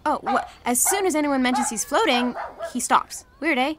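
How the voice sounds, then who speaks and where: A woman's voice: sits high, normal speed, heavy background noise, lightly acted. A woman voicing a plain-spoken young girl in an audio drama. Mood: matter-of-fact. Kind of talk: scripted audio drama acting.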